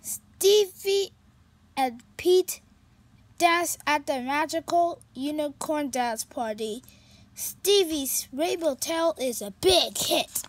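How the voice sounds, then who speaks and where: A storyteller reading a children's picture book aloud in an expressive, animated way. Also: high voice, slow. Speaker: storybook reader.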